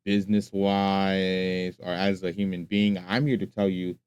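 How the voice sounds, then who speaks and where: Deep blunt-talking man, smooth dry voice. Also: flat delivery, a little slow, a little mellow, no echo. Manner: blunt and profane, speaks his mind. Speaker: music reaction host. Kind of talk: casual reaction commentary.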